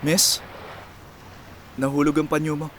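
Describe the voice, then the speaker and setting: A man's voice: on the high side, completely flat delivery, unhurried, background noise, natural voice. A man playing a genial older father and company chairman in a radio drama, reminiscing and mixing in English. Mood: nostalgic. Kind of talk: radio drama acting, storytelling.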